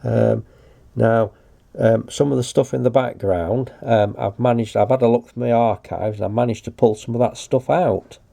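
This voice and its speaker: A man's voice: medium pitch, fairly even intonation, normal speed, creaky, mellow. An older British man giving a casual show-and-tell commentary in a hesitant, matter-of-fact way. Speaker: hobbyist video presenter.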